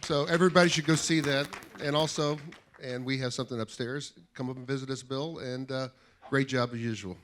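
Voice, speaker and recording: A man's voice: mid-range voice, steady tone, fairly quick, a bit bright, slight background noise. A man in the audience making friendly, chatty remarks to the speaker. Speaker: audience member. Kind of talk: casual remark from the floor.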